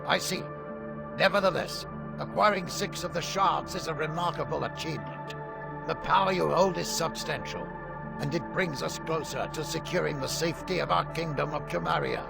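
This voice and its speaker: A dignified king played by a man in a fantasy game cutscene. His fairly high voice is heavily creaky and measured. Loud music plays behind him. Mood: regal, grave. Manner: formal, measured.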